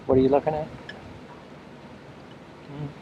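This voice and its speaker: A man's voice: on the high side, level tone, measured pace, background noise. Chatty man narrating a seaside home video. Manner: chatty, repeats himself, dry humor. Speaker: home video camera operator. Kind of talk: casual running commentary while filming. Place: outdoors on a rocky foggy seacoast. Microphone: built-in camcorder mic. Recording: muffled camcorder audio with loud surf. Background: surf and waves.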